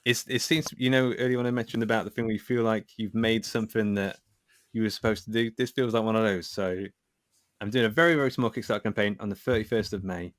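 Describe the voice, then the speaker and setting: A man's voice: on the low side, fairly even intonation, quick pace, no echo. A man speaking as a podcast guest in an informal, self-effacing, chatty way. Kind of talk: casual chat.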